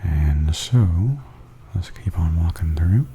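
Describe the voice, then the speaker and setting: A man giving softly spoken ASMR tour commentary in a breathy half-whisper, calmly and gently describing things as a host. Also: low-pitched, slight background noise. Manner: calm, unhurried, gently descriptive.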